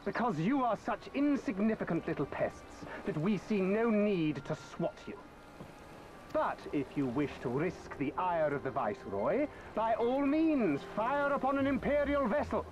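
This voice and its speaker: A contemptuous Imperial officer from a video game. His voice is high for a man and swoops expressively with pompous scorn, over faint background noise. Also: animated, unhurried. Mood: contemptuous threat. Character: haughty, contemptuous male Imperial military officer.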